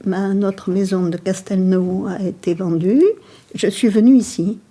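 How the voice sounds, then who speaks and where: Woman in her eighties, mellow voice. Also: mid-range voice, steady tone, measured pace. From France. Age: 80s. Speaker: interviewee. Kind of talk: personal testimony.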